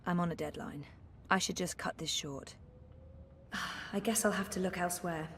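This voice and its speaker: Woman playing reserved young game heroine, slightly low pitch, weary, hesitant, conversational, echoing space. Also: moderate pace, fairly bright tone, lightly acted. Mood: businesslike. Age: young adult. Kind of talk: video game character acting. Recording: echoey hall, faint background noise.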